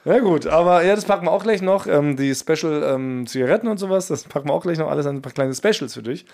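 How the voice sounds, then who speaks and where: A man's voice: a little high, animated, quick pace, gravelly, darkish tone. A man from Germany co-hosting a podcast in casual comic chat, playfully exaggerating and using colloquial slang. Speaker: podcast co-host.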